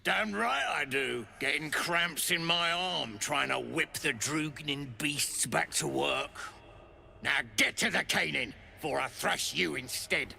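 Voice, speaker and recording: An actor playing a gruff, bossy, foul-mouthed dwarf overseer of slaves in a video game, talking in curt earthy slang. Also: male voice, a little high, slow, bright, forceful tone, slight background noise, full character voice. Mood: impatient, threatening. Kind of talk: video game character acting.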